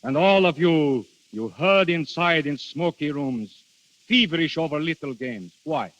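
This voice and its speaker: World-weary princely Death, swooping intonation. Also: male voice, a little high, expressive, fairly bright tone. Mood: wondering, disdainful. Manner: formal, musing, deliberate with hesitations. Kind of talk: 1930s radio acting. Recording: dull vintage 1930s radio recording.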